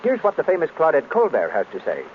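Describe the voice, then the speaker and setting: A man's voice: fairly high pitch, huge pitch swings, quick pace. A man announcing a scripted soap advertisement in 1930s radio style. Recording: dull, noisy old radio recording.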